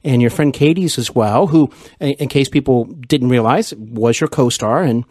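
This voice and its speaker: A man's voice: mid-range voice, moderate pace, darkish tone, husky, dry recording. Casting: male interviewer, mid-range voice, supportive and chatty, recorded dry and close. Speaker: podcast interview host. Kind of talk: conversational interview.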